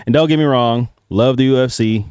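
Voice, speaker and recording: A man's voice: mid-range voice, a little fast, a little mellow, clean tone, no echo, studio quality. A man giving casual, slangy reaction commentary as a host, with some profanity and hesitant starts.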